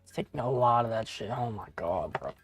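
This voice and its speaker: A man in his thirties streams a game and chats with his viewers in a loose, rambling way, peppering his talk with bro and the odd curse. His voice sits at an ordinary pitch and is recorded close and dry. Faint music plays underneath. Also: lively intonation, a little fast, slightly rough, no room sound. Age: 30s. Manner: rambling, casual, swears, talks to chat. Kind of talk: casual live-stream chat while gaming.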